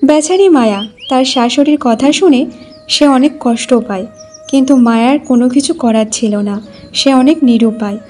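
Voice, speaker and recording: Woman storyteller, very high mellow voice, scripted cartoon narration, faint music behind. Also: moderate pace.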